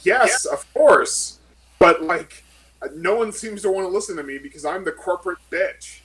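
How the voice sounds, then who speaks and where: A man's voice: sits high, moderate pace, fairly bright tone, a little hiss or hum, voice pushed up, rough recording. A man voicing a rattled, foul-mouthed woman corporate lawyer who is exasperated and defensive. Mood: frantic, exasperated. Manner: swearing, flustered, exasperated.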